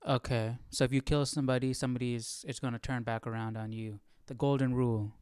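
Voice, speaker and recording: Guy on a chill study stream, clear voice, dry sound, kinda thinking out loud and asking questions. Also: mid-range voice, little pitch movement, fast, dry recording. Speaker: host of a live Bible study stream. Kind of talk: casual reactive commentary, reading and musing aloud.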